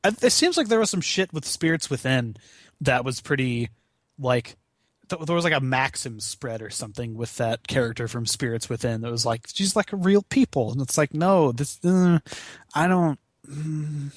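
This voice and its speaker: A man's voice: medium pitch, moderate pace, no echo, studio quality. A man chatting casually as a podcast guest, wry and given to swearing. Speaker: podcast guest, charity gaming marathon organizer. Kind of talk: casual chat.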